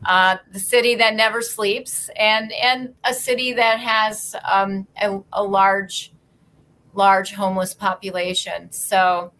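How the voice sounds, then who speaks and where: A woman's voice: mid-range voice, little pitch movement, takes it slowly, fairly bright tone, rough recording. A middle-aged woman from upstate New York, a lawyer and guest on a podcast, telling her story earnestly with many small restarts. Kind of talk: podcast interview.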